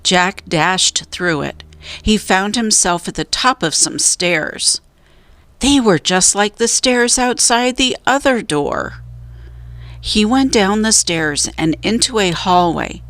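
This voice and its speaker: A woman narrating a children's storybook as a reader, with deliberate, suspenseful storytelling. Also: medium pitch, fairly bright tone, no room sound.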